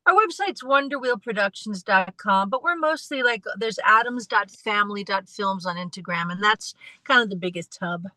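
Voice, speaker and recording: A woman's voice: mid-range voice, lively intonation, moderate pace, few pauses, bright, forceful tone, no room sound, rough recording. A woman with a young-sounding voice guesting on a podcast as a filmmaker, friendly in casual chat. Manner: friendly, laughs easily.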